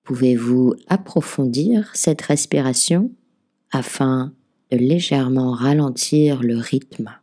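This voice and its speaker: A woman leading a guided relaxation as a meditation teacher, giving gentle, soothing, measured instructions. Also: extremely low pitch. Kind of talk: guided yoga nidra relaxation narration.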